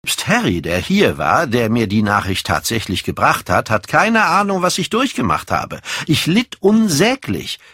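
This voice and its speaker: Guy reading as vain callous young dandy, audiobook, very up and down, haughty speeches, bit husky. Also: mid-range voice, normal speed, hardly any pauses, no room sound, studio quality, voice pushed up, full character voice. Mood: passionate, self-absorbed. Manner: animated, haughty, declamatory. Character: vain, callous young man, aesthete and self-absorbed. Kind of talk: dramatic audiobook character reading.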